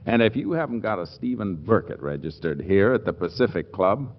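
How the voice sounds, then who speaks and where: A man's voice: on the low side, big pitch swings, brisk, muffled recording, slight background noise. A man acting in a 1940s radio comedy as a flustered, sarcastic, jealous husband, on an old recording. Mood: weary, exasperated. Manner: wry, blustering, nervous chuckling.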